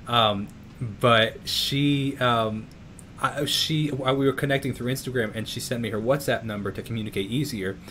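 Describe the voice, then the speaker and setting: A man's voice: mid-range voice, moderate pace, bright, forceful tone, a little hiss or hum. A young man with a New York accent hosting a casual interview for his music channel over a video call. He rambles and restarts his sentences often, with plenty of fillers.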